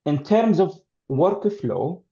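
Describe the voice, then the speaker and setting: A man with an Arabic accent answering as an expert panelist in a halting, deliberate manner with many pauses, over dull call audio. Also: fairly high pitch, big pitch swings, gravelly, warm tone.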